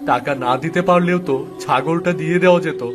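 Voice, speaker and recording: A man's voice: fairly high pitch, quick pace, music in the background, close to an everyday voice. A man playing a hard-up, worried village farmer in a cartoon, grumbling and dismissive as he talks. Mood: worried, irritable. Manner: grumbling, dismissive toward his wife. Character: worried, brusque village husband and son, hard-up small farmer. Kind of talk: dramatised cartoon dialogue.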